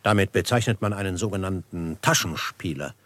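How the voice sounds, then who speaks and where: A shrewd frontiersman in a German radio western. His voice is on the low side and recorded dry, and he questions and explains with calm, measured formality. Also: no room sound. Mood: composed, deducing. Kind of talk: German radio drama acting.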